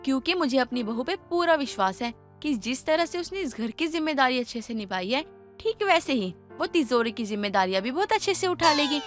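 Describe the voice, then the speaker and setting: Woman acting high-voiced, emphatic mother-in-law. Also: sing-song, a little fast, faint music behind. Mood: trusting, persuasive. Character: older mother-in-law, household matriarch, trusting but scolding. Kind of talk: dramatized Hindi moral story acting.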